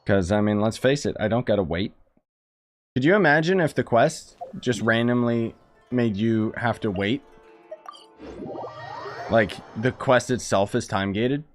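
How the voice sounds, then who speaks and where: A man's voice: mid-range voice, unhurried, pauses often. A man giving casual, sarcastic live commentary as a video game streamer, in an informal way. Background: faint game music.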